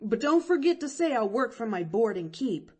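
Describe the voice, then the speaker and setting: A woman reading an audiobook, voicing a proud, plainspoken poor boy with a rough, folksy way of speaking. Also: on the high side, very animated, moderate pace, pitched above the performer's own voice. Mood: earnest.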